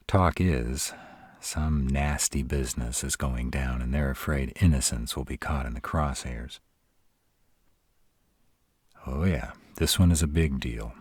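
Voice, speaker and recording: An extremely low-voiced, husky male were-dragon, acted by a man for a roleplay audio drama. He is protective and caring, with a wry, casual way of talking. The sound is dry and intimate. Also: measured pace, darkish tone, no room sound, exaggerated voice. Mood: grave, explaining. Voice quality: very deep, husky.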